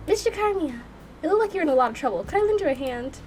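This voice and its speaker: A woman's voice: high voice, animated, fast, a little mellow, soft background music, pitched above the performer's own voice. A woman voicing an earnest, polite, worried young girl in a visual novel, with a hesitant, pleading way of talking. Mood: polite, curious.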